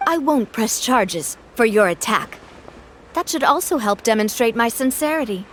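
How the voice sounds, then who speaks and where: A woman's voice: fairly high pitch, animated, moderate pace, slight background noise, lightly acted. An actress playing a confident, gracious young woman who leads an organization in an anime game, poised and friendly. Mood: firm, composed.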